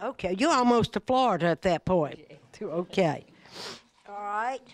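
A woman's voice: medium pitch, animated, moderate pace. Older woman host, friendly folksy emcee patter on a handheld mic in a community hall. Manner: folksy, calls people honey. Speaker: senior center host. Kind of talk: casual emcee chat. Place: large indoor community room.

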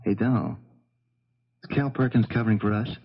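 An actor playing a scheming, cautious lover and accomplice in a 1970s radio drama. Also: male voice, on the low side, expressive, a little fast, creaky. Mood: suspicious, calculating. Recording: dull vintage radio recording.

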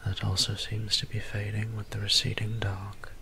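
A man playing a soft-spoken, melancholy vampire priest in an ASMR roleplay, musing in formal, old-fashioned words with a breathy near-whisper. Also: on the low side, monotone, moderate pace, a little hiss or hum. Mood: frustrated. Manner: formal, archaic, musing diction.

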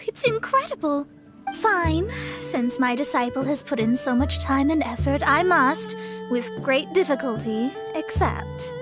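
A young woman playing a proud, self-important astrologer in a video game, speaking in a formal, theatrical, haughty manner. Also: high voice. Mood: haughty, pleased. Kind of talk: English game dub acting.

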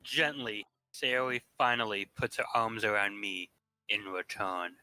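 A man's voice: fairly low pitch, a little slow, bright, forceful tone, no room sound, poor audio. A man narrating a visual novel in an informal staged reading, adding asides of his own.

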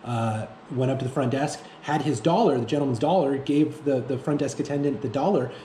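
A man in his thirties speaking off the cuff to camera as a fitness vlogger, haltingly, often repeating himself and searching for words. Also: medium pitch, dark tone. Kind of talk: reflective unscripted monologue to camera. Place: indoor room with bare walls. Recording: echoey room with faint background noise.

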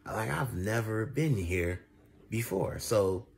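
Deep-voiced young American man, casual and chatty. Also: expressive, takes it slowly. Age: young adult. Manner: repetitive emphatic slang, conversational. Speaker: food reviewer. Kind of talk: casual chatty vlog storytelling. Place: indoors, reclining on a couch. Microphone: phone at arm's length. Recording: clear background noise, home phone recording.

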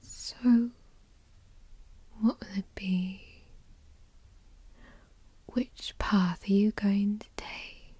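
A woman playing a tall, dominant, doting girlfriend in a whispered ASMR roleplay, teasing and coddling softly, close to the microphone. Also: mid-range voice, fairly even intonation, extremely slow, pauses often, no room sound, heavily acted. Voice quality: soft, breathy whisper.

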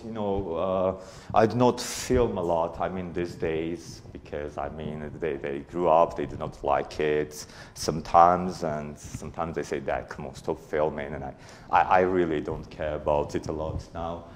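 A man's voice: low-pitched, steady tone, measured pace, darkish tone, a little hiss or hum. A man in his forties speaking at a conference in a hall before an audience, hesitant, with many fillers and restarts. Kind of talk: conference talk.

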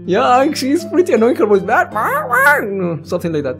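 Lively let's-player with music behind. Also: medium pitch, moderate pace, creaky. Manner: giggly, interjects own reactions. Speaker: let's-play host. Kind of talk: reading game text aloud with casual commentary. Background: game music with plucked guitar.

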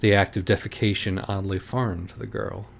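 Casting: male parody-audiobook narrator, somewhat low voice, mock-literary storybook delivery. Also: level tone, fairly quick, vocal fry, breathy edge.

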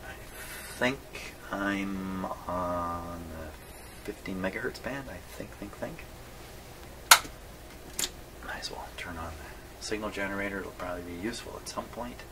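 A man's voice: deep voice, measured pace. A man giving a casual hands-on demonstration, thinking aloud and muttering to himself as he works. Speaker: host of a radio repair channel. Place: small indoor radio workshop. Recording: distant mic, clear background noise.

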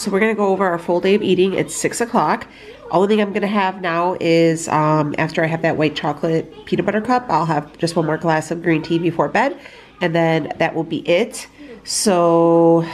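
A woman's voice: on the low side, a little mellow, slight background noise. A young woman vlogger with a stuffy, nasal voice, chatting casually about her meals in her home kitchen on a handheld phone camera. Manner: chatty, rambling, upbeat. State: stuffy from allergies. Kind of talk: casual food diary chat.

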